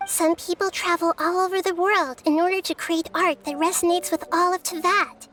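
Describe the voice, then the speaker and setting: A young girl deity with a high, gentle voice for a video game. She is articulate, thoughtful and compassionate, choosing words with earnest care. Music plays clearly behind her. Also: steady tone. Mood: earnest, encouraging. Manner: measured, thoughtful, articulate, soft-spoken. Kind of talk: scripted video game dialogue.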